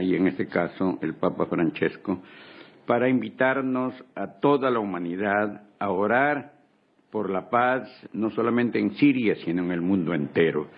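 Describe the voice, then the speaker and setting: Fervent gravelly man on a phone line. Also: deep voice. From Ecuador. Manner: fervent, repetitive rhetorical emphasis. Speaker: ambassador interviewed. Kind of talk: radio interview statement.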